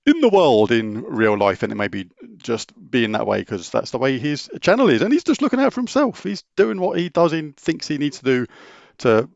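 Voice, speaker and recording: A man's voice: medium pitch, sing-song, normal speed, gravelly, a little mellow, no room sound, muffled recording. A middle-aged British man hosting a gaming channel, casually answering viewer questions in an open, matter-of-fact way that rambles and restarts. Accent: British English. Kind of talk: casual Q&A chat.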